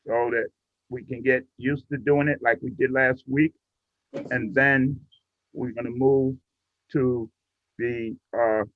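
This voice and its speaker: A man instructing a class in a casual, patient, step-by-step way over a video call. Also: medium pitch. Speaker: instructor leading a radio training class. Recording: muffled, roomy video call audio.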